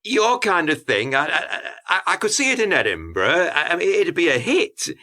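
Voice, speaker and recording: An actor in a radio play playing an awkward, apologetic club owner who stammers and hesitates. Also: male voice, fairly high pitch, sing-song, normal speed, sharp tone, heavily acted.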